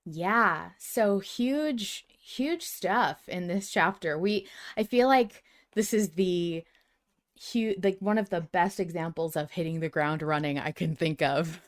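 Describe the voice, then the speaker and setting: Lower-voiced woman hosting a fan podcast. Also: slow. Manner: enthusiastic. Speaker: podcast host. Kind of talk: casual fan discussion podcast, reading listener comments.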